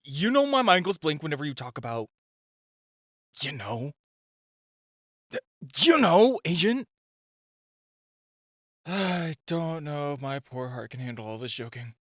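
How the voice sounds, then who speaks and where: A man's voice: on the high side, sing-song, unhurried, long pauses, a bit bright, no echo, studio quality, heavily acted. A man playing a super-shy, flustered nerdy gadget inventor in an amateur audio roleplay, nervous and prone to stammering. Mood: flustered, pleading.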